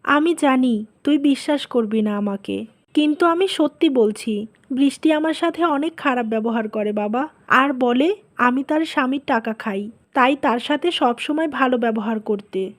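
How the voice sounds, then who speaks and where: Woman acting as older mother-in-law, fairly high voice, scolding, aggrieved, insistent, cartoon dialogue. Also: normal speed, natural voice.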